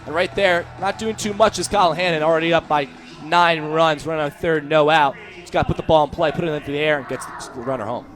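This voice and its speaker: Young American male color commentator, lightly high voice. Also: steady tone, fast, fairly bright tone. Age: young adult. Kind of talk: live radio sports commentary, analysis between plays.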